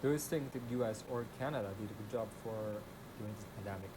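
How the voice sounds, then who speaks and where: A man's voice: lowish voice, fast, background noise. A man hosting a video, introducing it and asking passers-by friendly, direct questions. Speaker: host and street interviewer.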